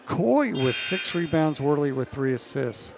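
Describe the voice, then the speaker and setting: A man calling a women's college basketball game play-by-play, losing his voice and clearing his throat now and then. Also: a little high. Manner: steady, measured calls that rise on big plays. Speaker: play-by-play announcer.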